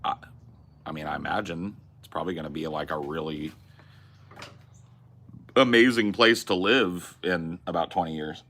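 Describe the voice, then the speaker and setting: A man's voice: deep voice, moderate pace, long pauses, sharp tone. A man hosting a casual livestream, rambling and swearing as he reads chat messages and muses aloud, often restarting his sentences. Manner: rambling, profane, restarts and self-corrections. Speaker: streamer host.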